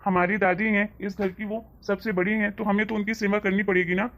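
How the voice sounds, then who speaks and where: A woman plays a level-headed young grandson in a family skit. He speaks calmly and reasonably in a mid-range voice, trying to settle the quarrel. The recording sounds a little dull and lo-fi. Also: medium pitch, fairly quick, close to an everyday voice. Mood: reassuring. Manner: calm, reassuring, reasonable. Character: calm young grandson, peacemaker.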